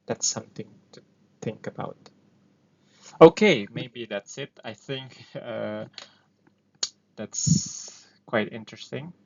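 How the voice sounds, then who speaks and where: Man, Indonesian accent, thinking aloud with fillers, slow delivery, dull lo-fi sound. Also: mid-range voice, creaky, a little mellow. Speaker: host. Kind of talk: casual explainer talk. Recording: dull lo-fi recording.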